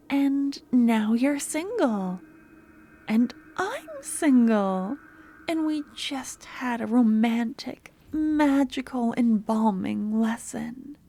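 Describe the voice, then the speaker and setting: Woman acting as obsessive yandere girl, very slow delivery, high soft voice, whispery, sweet but menacing, ASMR roleplay. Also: slight background noise. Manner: soft, breathy, often dropping to a whisper.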